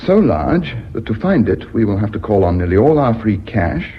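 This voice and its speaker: An actor playing a shrewd, assertive businessman in a radio drama, with a husky voice. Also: on the low side, big pitch swings, moderate pace. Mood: calm, methodical. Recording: muffled old radio drama recording.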